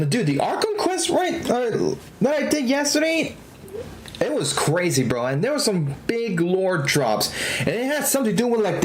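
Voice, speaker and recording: A woman's voice: lowish voice, slight background noise. A woman livestreaming a game in casual, slangy, rambling chat with frequent exclamations. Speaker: livestream host, gamer.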